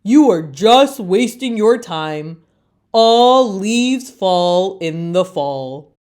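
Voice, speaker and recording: A woman voicing know-it-all, taunting leaves in a children's storybook, speaking loudly and drawing out the words. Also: medium pitch, expressive. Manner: slow, loud, drawn-out taunting.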